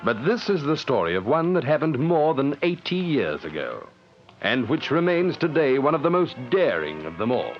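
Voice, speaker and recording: A man narrates in a sweeping, dramatic manner, his pitch rising and falling widely. Music plays clearly under him, and the whole sound is muffled like an old radio broadcast. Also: sing-song, moderate pace. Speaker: program narrator.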